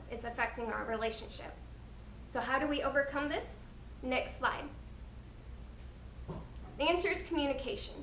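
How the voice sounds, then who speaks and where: Woman reading through a slide talk, earnest and deliberate, bit far from the mic, faint background noise. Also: on the high side, a bit bright, reverberant. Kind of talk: scripted slide presentation.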